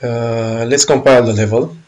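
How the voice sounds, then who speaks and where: A man with a Romanian accent casually walking through a game engine's features, pausing often with fillers and correcting himself. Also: mid-range voice, fairly even intonation, a little mellow. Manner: halting, many fillers and self-corrections. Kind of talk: casual software walkthrough.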